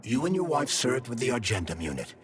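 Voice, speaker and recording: A man's voice: mid-range voice, very animated, slightly rough, long reverb tail. A man playing a sentient glove that holds a dead scientist's mind, formal and coolly vengeful, in a video game cutscene. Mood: grave revelation. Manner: articulate, formal, measured explaining.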